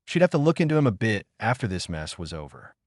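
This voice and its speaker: A man with a fairly low voice narrates a scripted adventure story. The recording is dry and close, with very little room sound around the words. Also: sing-song, quick pace, darkish tone, no room sound. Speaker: narrator of fan fiction story videos. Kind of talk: scripted story narration reading dialogue and action.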